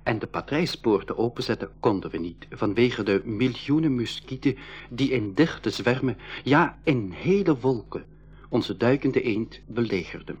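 A man's voice: medium pitch, breathy edge. A man playing an eager young boy adventurer who narrates his journey in a school radio drama. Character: eager boy adventurer, Marleen's younger brother, telling the tale. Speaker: narrator and character in a radio play. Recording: dull 1980s radio recording.

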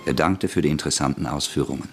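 A male narrator reading a radio play in a calm, dry manner. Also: rumbling low, quick pace, faint music behind. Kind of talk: scripted narration.

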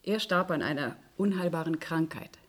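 An actress playing a calm, earnest, long-lived woman inventor in a German radio play. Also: sits low, normal speed.

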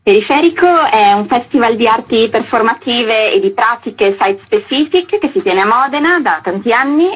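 A woman with a husky, mid-pitched voice is interviewed on the radio. She speaks as a curator, explaining the concept of a festival. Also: rough recording.

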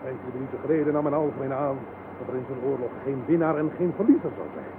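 An actor playing a pompous, fanatical, self-glorifying general in a radio play, with a grandiose, speech-making delivery. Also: male voice, mid-range voice, expressive, quick pace, natural voice. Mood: grandiose, boastful. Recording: noisy, dull 1970s radio recording.

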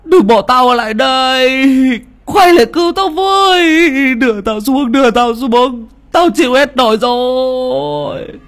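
A man's voice: extremely high pitch, a little slow, fairly bright tone, pitched above the performer's own voice. Man doing a screaming terrified young villager. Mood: desperate pleading. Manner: screaming, pleading.

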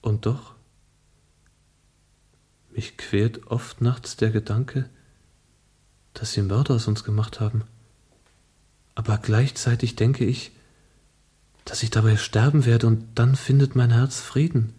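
An actor playing an idealistic, passionate young revolutionary in a German radio play, speaking in an earnest, declamatory manner. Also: male voice, mid-range voice, steady tone, slow pace, long pauses, dark tone, breathy edge. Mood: brooding, solemn.

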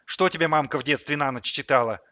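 Man voicing bossy, bright-edged bald gangster, Sidor. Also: fairly high pitch, fast, no room sound, muffled recording. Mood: frantic. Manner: barking, hissing threats, coaxing sweet talk.

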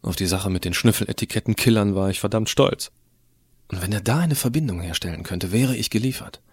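A cocky teenage schoolboy played by a man tells his own story in an audio drama. The voice is fairly low and recorded dry. His narration is irreverent, sardonic and full of slangy, colloquial turns. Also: a little fast, husky, dry recording. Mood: wry, confiding. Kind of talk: first-person scripted narration, fan audio drama.

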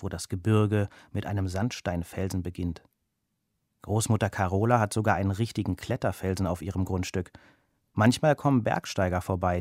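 A man's voice: lowish voice, a little mellow, no room sound, studio quality. A man narrating a children's story in a scripted storybook style.

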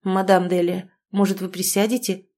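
A woman's voice: mid-range voice, little pitch movement, moderate pace, dark tone, natural voice. A woman reading a courteous, sly estate manager. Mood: polite. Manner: courteous, smooth.

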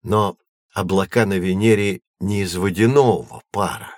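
An actor playing a learned, patient guide who explains science to children in an educational audio drama, in a measured, didactic way. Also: male voice, sits low, fairly even intonation, darkish tone, dry recording, studio quality. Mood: patient, instructive.

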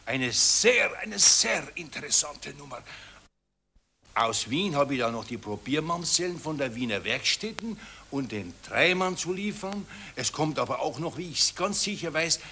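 An actor playing an obsequious, pushy court photographer in a satirical radio play, with a fawning, insistent manner. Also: male voice, a little high, expressive, measured pace, pushed and bright, a little hiss or hum, exaggerated voice. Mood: boastful pitching. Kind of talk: satirical radio drama acting.

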